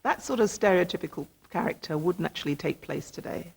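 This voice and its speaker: A woman's voice: on the low side, expressive, a little fast, vocal fry, a little mellow, husky. A woman reminiscing as an interviewee in a documentary.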